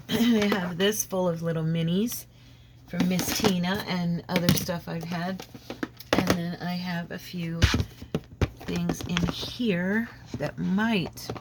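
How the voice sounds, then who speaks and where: A woman's voice: on the low side, noisy background. A woman hosting a crafting vlog at her home craft desk, chatting casually with lots of fillers while slightly froggy from a head cold. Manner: rambling, friendly, many fillers and restarts. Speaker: crafting channel host.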